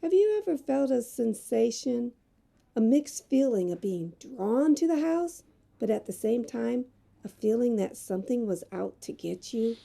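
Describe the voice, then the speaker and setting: Mellow-voiced woman acting as a determined woman reporter. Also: a little high, sing-song, measured pace, creaky, full character voice. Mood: reserved, uneasy. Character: Hazel Winters, guarded, determined young woman magazine reporter. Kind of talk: audio drama acting.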